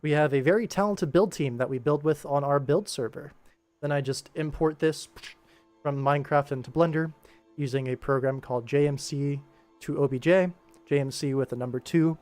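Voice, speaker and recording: A man's voice: fairly high pitch, darkish tone. Casual male streamer over music. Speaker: livestream host and animator. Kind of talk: casual livestream chat, answering viewers while working. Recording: music playing behind the voice.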